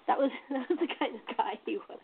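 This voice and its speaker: A woman's voice: on the high side, moderate pace, breathy edge. A woman hosting a casual chat, telling stories in a conversational way. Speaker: host and playwright. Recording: thin phone-like call audio.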